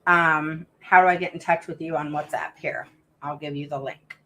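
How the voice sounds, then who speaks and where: A middle-aged woman hosting a live stream for parents, reading out viewer comments. Her voice sits low but has a bright, forceful edge. She talks frankly, with plenty of fillers and restarts. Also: fairly even intonation, moderate pace, slight background noise. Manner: calls herself a roaring mama advocate. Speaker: host of a live stream for special education parents.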